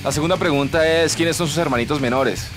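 A man's voice: fairly high pitch, fairly quick, music in the background, close to an everyday voice. A man in his thirties with a Colombian accent playing a bumbling, solemn hero in a comic radio serial, speaking close to a studio mic. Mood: solemn questioning. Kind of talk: comic radio drama acting. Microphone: close broadcast microphone.

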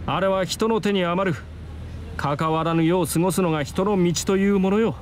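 A man's voice: sits high, normal speed, slight background noise, lightly acted. A man voicing a stern, proper teenage student in a Japanese visual novel, formal and admonishing with old-fashioned phrasing. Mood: stern, composed. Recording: outdoor street ambience behind voice.

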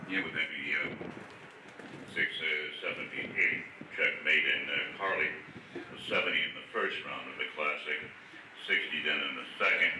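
A man with an extremely low voice works as a horse show announcer. He speaks in a measured, halting way as he calls out riders and results. His voice comes from far off over outdoor loudspeakers, echoing, with clear noise around it. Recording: echoey distant outdoor PA, noisy.

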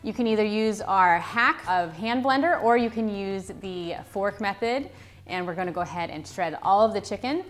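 A woman's voice: mid-range voice, normal speed, faint music behind. A woman in her thirties hosting a cooking tutorial in a home kitchen, cheerfully listing ingredients one after another. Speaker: cooking channel host. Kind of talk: recipe tutorial with voice-over.

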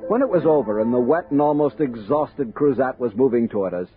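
An actor playing a thoughtful, commanding American army captain in a 1960s radio drama, measured and authoritative. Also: male voice, mid-range voice, expressive, natural voice. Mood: admiring narration. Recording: dull vintage radio recording with music.

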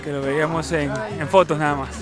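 An upbeat man in his thirties talks to his handheld camera while walking outdoors through busy streets. His voice sits a little high for a man. Music plays under the street sound. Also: level tone, fairly quick, a crowd in the background. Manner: upbeat, chatty, laughs often. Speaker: travel vlogger. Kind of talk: casual travel vlog chat. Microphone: camera held at arm's length.

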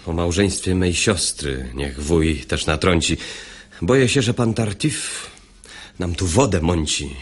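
An earnest young man in a radio verse comedy. His voice is deep and rough-edged, his delivery declamatory and rebellious. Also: a little slow. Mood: anxious, earnest. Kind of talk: classic stage comedy radio acting in verse.

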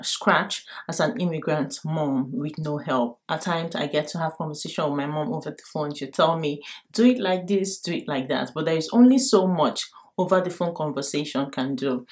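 A young Nigerian woman giving casual advice to camera, direct and emphatic, addressing viewers familiarly. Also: sits low. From Lagos, Nigeria. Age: young adult. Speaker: vlogger. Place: bedroom at home.

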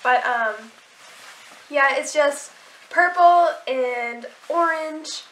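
A woman's voice: sits high, extremely slow, a bit bright, roomy sound, slight background noise, low-quality recording. A teenage girl with a sore throat and stuffy nose chatting casually to camera about clothes, bubbly and full of likes and restarts. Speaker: vlogger. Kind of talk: casual haul video chat.

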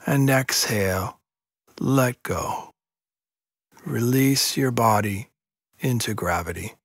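Slow, breathy male meditation guide. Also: medium pitch, no room sound, clean studio sound. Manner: slow, hushed, soothing, measured instruction with long pauses. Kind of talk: guided yoga nidra sleep meditation.

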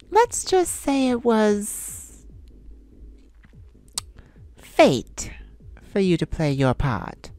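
A woman voicing a flirtatious, cunning male vampire in a slow, soft-spoken, teasing ASMR roleplay. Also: mid-range voice. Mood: flirty.

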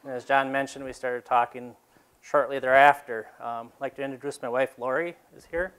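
A man's voice: medium pitch, fairly even intonation, a little fast, fairly bright tone, smooth. A dairy farmer in his fifties giving a casual talk to a seated audience in a large exhibition hall, rambling with fillers and restarts.

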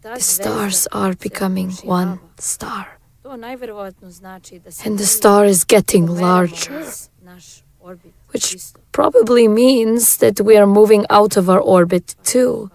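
A woman's voice: mid-range voice, vocal fry, a little mellow. A woman playing a somber, hesitant survivor broadcasting at the end of the world in an audio drama. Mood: grave, resigned. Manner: hesitant, deliberate. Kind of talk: grave, halting apocalyptic broadcast acting.

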